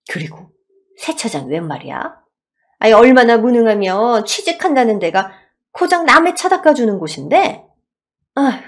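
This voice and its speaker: A woman voicing a scornful older mother-in-law in a radio story drama, berating with sighs and drawn-out sarcasm. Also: high voice, pitched above the performer's own voice, exaggerated voice.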